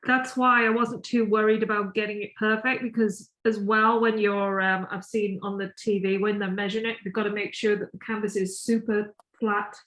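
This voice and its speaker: A woman with a croaky voice, chatting casually with her co-host while she paints and often trailing off mid-sentence. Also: medium pitch, little pitch movement, normal speed, few pauses, fairly bright tone, echoey room, rough recording.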